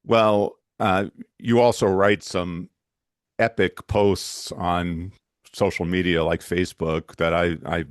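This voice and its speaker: Low-voiced man hosting an interview. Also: level tone, slow pace, no room sound, studio quality. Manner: hesitant, friendly questioning with repeated restarts. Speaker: talk show host. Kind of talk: conversational interview.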